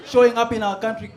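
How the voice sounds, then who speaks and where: High-voiced man doing stand-up. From South Sudan. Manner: laid-back, chuckles at his own jokes, builds to loud punchlines. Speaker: stand-up comedian. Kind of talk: stand-up comedy set. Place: comedy club stage. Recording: roomy live club sound.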